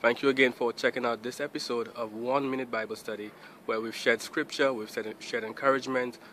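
Young male host, casual outro, bright tone, phone at arm's length outside with faint street noise. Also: medium pitch, steady tone, fairly quick. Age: young adult. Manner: friendly, with ums. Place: outdoors by a building. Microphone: earbud mic, phone at arm's length.

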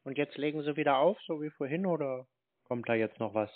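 A man's voice: on the high side, brisk. A man in a phone conversation, speaking bluntly and insistently in a colloquial way. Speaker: caller confronting a call centre. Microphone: telephone line. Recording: tinny, narrow-band phone call recording.

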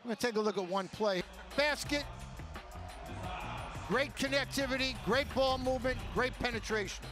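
An older man with a high voice breaks down basketball as an analyst. He talks in short, repetitive phrases, with faint music behind him. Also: normal speed, long pauses. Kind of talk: live sports analysis. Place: courtside desk in an arena.

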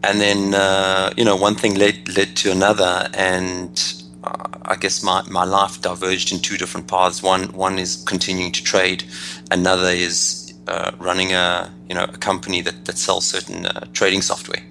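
Deep gravelly South African man. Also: monotone, bright, forceful tone, a little hiss or hum, poor audio. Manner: analytical, halting, many restarts and fillers. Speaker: guest trader. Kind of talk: podcast interview answers.

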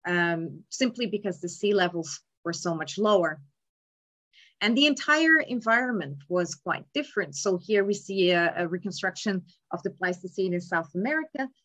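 Measured woman giving a slide lecture. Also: lowish voice, a bit bright. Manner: measured, explanatory, with frequent ums. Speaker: palaeontology lecturer. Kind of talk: slide lecture on cave bears. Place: indoor room, remote talk. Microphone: webcam in a video call.

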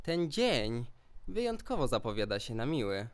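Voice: medium pitch, sing-song, normal speed, very noisy. A performer voicing a mischievous, bored, grumbly boy storm spirit in a rhymed musical fairy tale for children. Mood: grumpy.

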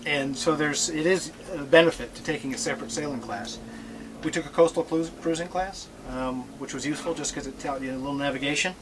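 A middle-aged man giving a relaxed, joking talk about sailing to an audience, standing aboard a catamaran in a marina with notes in hand. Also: on the high side, a little fast, noisy background. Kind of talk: casual presentation to an audience. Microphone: far camera.

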